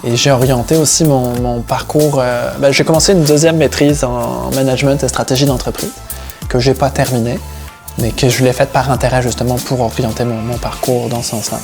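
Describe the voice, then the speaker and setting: A man from the Paris region, telling about his own work and life in a relaxed interview account. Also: mid-range voice, brisk, faint music behind. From Paris region, France, with Breton roots. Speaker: seaweed harvesting diver and business owner, interviewee.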